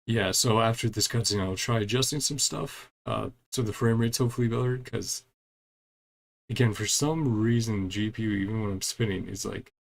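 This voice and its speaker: Low, creaky-voiced man streaming a game. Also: moderate pace. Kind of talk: casual live gameplay commentary.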